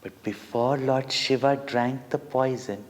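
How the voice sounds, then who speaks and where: Slow-speaking Indian man in a reverberant hall. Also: medium pitch, fairly even intonation. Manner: deliberate, dramatic, with short pauses between phrases. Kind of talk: devotional storytelling for children. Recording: long reverberant hall echo.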